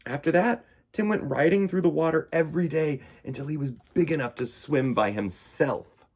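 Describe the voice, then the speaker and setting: A man hosting a children's storytime, reading picture books and chatting in simple, expressive, conversational language. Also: on the high side, moderate pace. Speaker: host of a children's storytime show.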